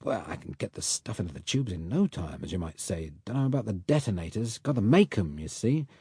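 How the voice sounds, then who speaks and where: Man voicing a gruff Cockney boatman. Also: lowish voice, sing-song, a little fast, warm tone, no room sound, clean studio sound, full character voice. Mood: earnest. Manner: blunt working-class slang, gruff and earnest. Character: rough Cockney boat mechanic, middle-aged man.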